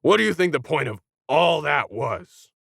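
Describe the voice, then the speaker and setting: A man performs a brash alien for a sci-fi storybook, snarky and slangy. The voice has some gravelly creak and sits close and dry, full of condescension. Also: on the high side, big pitch swings, moderate pace, fairly bright tone, no room sound, full character voice. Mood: mocking. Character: brash sarcastic tentacled alien, condescending and fast-talking. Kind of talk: acted sci-fi storybook dialogue.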